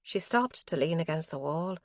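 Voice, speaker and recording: Low-voiced woman narrating a thriller. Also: lively intonation, moderate pace, no room sound, studio quality. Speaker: audiobook narrator. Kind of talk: scripted narration.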